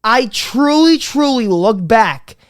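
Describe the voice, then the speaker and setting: Guy hosting a comedy podcast, voice going, smooth clear tone, swears a lot and keeps restarting. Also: extremely high pitch, animated, slow. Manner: rambling, profane, many restarts and repeats. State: losing his voice, a bit under the weather. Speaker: podcast host. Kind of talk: casual comedy podcast monologue.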